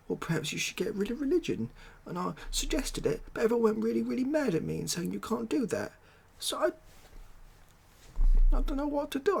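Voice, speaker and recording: A man performs a dim but well-meaning President of the World with an English accent. The voice is breathy and husky, with a gravelly creak. He whines softly and hesitantly, pleading and repeating himself in an improvised ASMR comedy style. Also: high-pitched, exaggerated voice. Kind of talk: ASMR comedy roleplay interview, soft improvised monologue.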